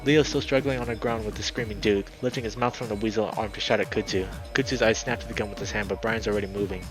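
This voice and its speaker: A man with a fairly low voice reads a visual novel aloud for a stream. His delivery is dramatic and excitable, with the odd stumble over words, and soft music plays behind him. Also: fast, low-quality recording. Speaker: streamer, host of a let's play. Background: background music.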